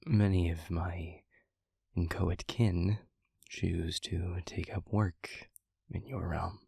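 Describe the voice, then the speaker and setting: A man acts out a gentle, reflective demon in an intimate audio roleplay. The voice is very breathy and husky, sitting low and staying even, with soft, measured phrases that hesitate as he thinks. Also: dry recording, clean studio sound, full character voice. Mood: reflective, earnest. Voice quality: breathy, husky, half-whispered.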